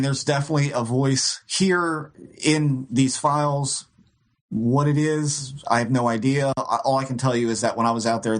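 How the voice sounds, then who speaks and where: A man in a casual podcast chat, telling a long story at an ordinary pitch. He rambles and restarts his sentences, laughing now and then as he goes. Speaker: co-host.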